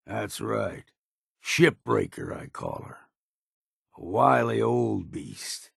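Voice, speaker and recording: Gravelly, grizzled old harbor hunter. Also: male voice, fairly low pitch, dry recording, clean studio sound, heavily acted. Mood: wistful, determined. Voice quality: gravelly, rasping. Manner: folksy, blunt, weathered. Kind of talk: video game character acting.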